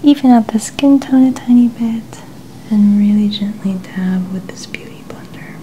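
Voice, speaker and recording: A woman gently whispering in a breathy voice, reassuring as she narrates an ASMR personal-care role-play. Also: a little high, normal speed, dull audio, a little hiss or hum. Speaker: ASMR makeup artist.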